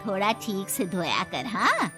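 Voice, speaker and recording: A woman's voice: medium pitch, huge pitch swings, measured pace, pushed and bright, faint music behind, full character voice. A woman voicing a mild, caring older mother-in-law in a family moral story, coaxing and motherly.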